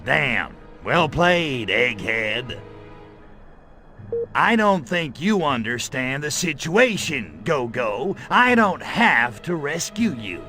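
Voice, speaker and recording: Game alien invader: slow, swaggering drawn-out sarcasm, forceful edge, wisecracking menace. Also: male voice, mid-range voice, big pitch swings, soft background music, full character voice. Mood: sarcastic, irritated. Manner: sarcastic, swaggering, slangy delivery. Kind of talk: video game cutscene voice acting.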